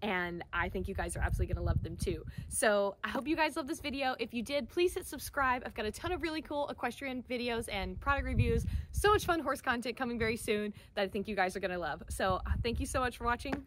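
A woman's voice: a little high, fast, hardly any pauses. Chatty young American woman, noisy outdoor recording. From Central Florida, United States. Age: young adult. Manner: chatty, enthusiastic, emphatic. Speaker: equestrian product reviewer, vlogger. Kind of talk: casual product review to camera. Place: outdoors, by a wooden wall and open field. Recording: noisy outdoor camera audio.

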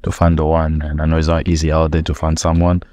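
Bachelor, thirties, from South Africa, very low and even-toned, laid-back. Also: male voice, fast, no echo. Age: 30s. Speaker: bachelor contestant. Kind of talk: casual self-introduction.

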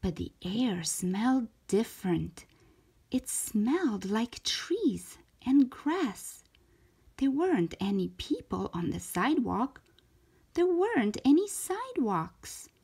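A woman reading a storybook aloud for young children in an expressive, deliberate way, stretching out key words. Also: fairly high pitch, vocal fry, slightly rough. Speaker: children's storybook reader, show host.

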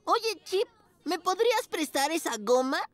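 A woman's voice: very high voice, expressive, measured pace. A woman voicing an easygoing, goofy young boy hyena in a children's cartoon dub. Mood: easygoing, sheepish. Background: music.

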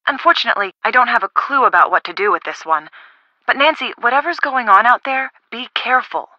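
A young woman, a game detective's friend with a very bright, forward voice pitched on the high side. She is casual and chatty. The sound is dry and a little dull. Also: expressive, fairly quick, no echo, heavily acted. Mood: casual, concerned. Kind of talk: video game voice acting.

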